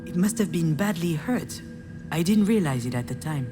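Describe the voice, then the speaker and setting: An actress playing the troubled, wry adult daughter of an artist, speaking introspective, dramatic dialogue in a video game. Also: female voice, deep voice, expressive, moderate pace. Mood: brooding, reflective. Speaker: video game protagonist and narrator. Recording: music behind the voice.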